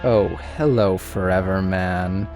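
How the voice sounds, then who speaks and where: A man's voice: low-pitched, takes it slowly, music in the background. A man voicing a petulant, teasing, all-powerful male trickster being in a story. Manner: petulant, mocking.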